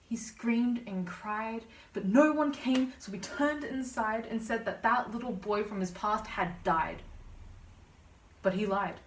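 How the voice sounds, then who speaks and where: A young woman student performing slam poetry with a dramatic, emphatic, rhythmic delivery. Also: mid-range voice. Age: young adult. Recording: distant, echoey room pickup.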